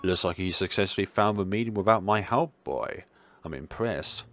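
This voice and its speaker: Man putting on a very deep, pompous talking cat deity. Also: lively intonation, moderate pace, a bit bright, faint music behind. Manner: haughty, commanding.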